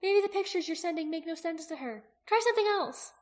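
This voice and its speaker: A woman voicing an excitable, quick-witted young female character in a fantasy audiobook, speaking with emphatic expression. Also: sits high, voice pushed up. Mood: anxious, hopeful. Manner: exclaiming, emphatic.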